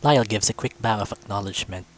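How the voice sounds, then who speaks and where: A man's voice: fairly low pitch, fast talker, faint music behind. A man reading visual novel narration aloud in a storytelling style. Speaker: visual novel narrator, let's-play reader.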